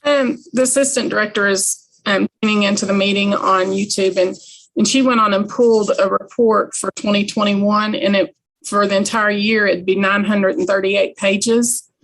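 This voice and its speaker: A woman's voice: medium pitch, fairly even intonation, normal speed, fairly bright tone, low-quality recording. A woman on finance staff, giving a brief spoken report at a formal meeting.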